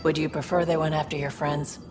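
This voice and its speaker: A woman's voice: low-pitched, little pitch movement, fairly quick, music in the background, natural voice. A woman voicing a tough, matter-of-fact alien mercenary and protective older sister in a video game. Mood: stern, protective.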